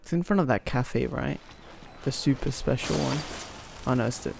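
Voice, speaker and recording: A man's voice: medium pitch, normal speed, vocal fry, noisy background. A young man giving casual, slangy gameplay commentary as a streamer, muttering reactions to the game. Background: game music.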